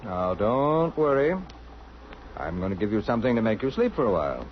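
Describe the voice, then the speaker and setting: An actor playing a gentle, self-effacing island doctor in old-time radio drama style, courteous, soothing and a little hesitant. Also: male voice, lowish voice, big pitch swings, fairly quick. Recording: muffled, noisy old radio recording.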